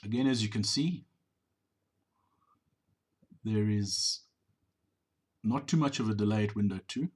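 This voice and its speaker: A middle-aged business owner giving a measured, explanatory voice-over on drive-thru footage, sometimes repeating words. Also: male voice, fairly low pitch, a little mellow, husky.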